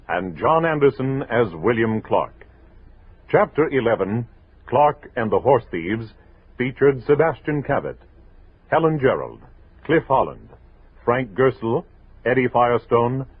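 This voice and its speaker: American radio announcer on thin recording. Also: male voice, medium pitch, slightly rough, dry recording. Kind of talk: 1960s radio credits announcement. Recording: narrow-band, muffled old radio, music behind.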